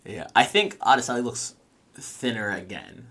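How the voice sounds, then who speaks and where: A man's voice: fairly low pitch, moderate pace, sharp tone, husky. A man talking casually as a player in a tabletop game, thinking aloud a little hesitantly as he describes characters. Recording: faint music behind the voice.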